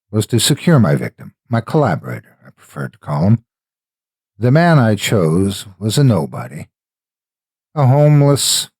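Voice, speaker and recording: A man narrating a horror story in a deliberate, dramatic manner with measured pauses. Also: sits low, a little mellow, breathy edge, no room sound, clean studio sound. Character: a wealthy, cold, calculating gambler narrating his own experiment.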